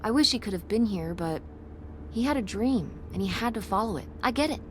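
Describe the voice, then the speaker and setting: An actress playing an earnest teenage daughter who designs websites, speaking in a casual, sincere, slangy way in naturalistic game dialogue. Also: female voice, mid-range voice, expressive, brisk, a little hiss or hum, natural voice. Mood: curious.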